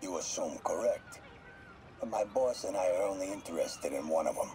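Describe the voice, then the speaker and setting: A cold, menacing mob enforcer. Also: male voice, sits low, animated, normal speed, warm tone, a little hiss or hum. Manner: cold, threatening.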